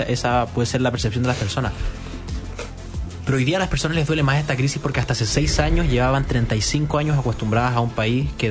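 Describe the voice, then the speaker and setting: Quick-talking Chilean male panelist, analytical, music playing underneath. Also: medium pitch. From southern Chile. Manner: measured, analytical, slight repetitions. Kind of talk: radio panel discussion.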